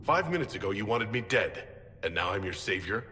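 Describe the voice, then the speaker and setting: An actor playing a wary, skeptical reluctant hero in a video game, questioning and challenging those around him. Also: male voice, on the low side, sing-song, fairly quick, pushed and bright. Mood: incredulous. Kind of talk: dramatic video game acting. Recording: long hall reverb, music underneath.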